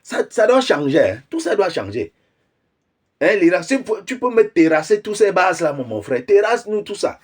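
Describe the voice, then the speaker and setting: A man's voice: high-pitched, sing-song, moderate pace. A man giving casual commentary, explaining his point with rhetorical questions and frequent chuckles.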